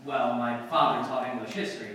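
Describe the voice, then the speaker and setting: A man's voice: medium pitch, a little fast, sharp tone, natural voice. An actor playing a slangy, awkward everyman magazine writer in an old-time radio comedy. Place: live stage performance in a hall. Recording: echoey hall, distant mic, faint noise.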